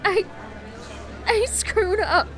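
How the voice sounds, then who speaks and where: A woman acting a distraught, guilt-ridden young costumed heroine in an audio drama, crying and halting in her despair. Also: extremely high pitch, a bit bright, a faint crowd, heavily acted. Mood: anguished despair. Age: young adult. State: crying, anguished. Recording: music behind the voice.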